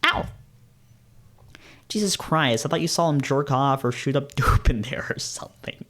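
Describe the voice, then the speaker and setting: A blunt female best friend from a visual novel, voiced by a man. She sounds exasperated and ready to scold, in a middle-pitched voice. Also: normal speed, fairly bright tone, close to an everyday voice.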